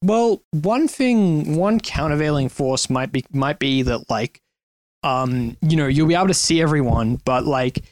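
A man speaking as a podcast guest, explaining ideas in quick bursts and leaning heavily on "like" and "um.". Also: a little high, lively intonation, a little mellow, no room sound. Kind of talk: casual conversational discussion.

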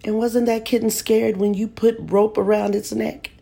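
A woman's voice: on the low side, moderate pace, mellow, breathy edge, voice pushed up. A woman voicing a stern, harsh mother who scolds and taunts her son in a read-aloud memoir. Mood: accusing.

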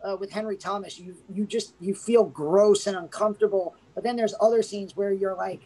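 A woman's voice: mid-range voice, steady tone, normal speed, warm tone, rough recording. A woman chats about a film on a podcast in a loose, rambling way. Her sentences keep restarting and are packed with "like"s. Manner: rambling, full of "like"s, laughs. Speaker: podcast co-host. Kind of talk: casual movie review chat.

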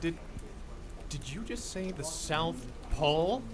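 A sarcastic war correspondent. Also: male voice, on the high side, animated, takes it slowly, background noise. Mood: incredulous. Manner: sarcastic, exasperated. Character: gruff weary male war correspondent, sarcastic. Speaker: radio broadcaster figure. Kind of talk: audio drama acting.

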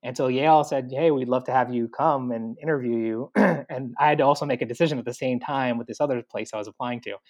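A man's voice: mid-range voice, fast, hardly any pauses, a little mellow. A man telling his career path in an interview, chatty, full of likes and fillers. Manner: chatty, many likes and fillers, acts out his own thoughts. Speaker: guest, university staff.

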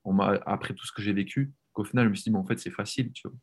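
A man talking as a guest on a podcast, animated and informal, often restarting his phrases. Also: lowish voice, very fast. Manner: animated, frequent restarts and "tu vois". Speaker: language coach, podcast guest. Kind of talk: casual podcast conversation.

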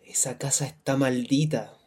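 A woman's voice: extremely low pitch, steady tone, normal speed, darkish tone, slightly rough. A woman playing a superstitious neighbour in a radio drama, confiding in colloquial speech with a Chilean accent.

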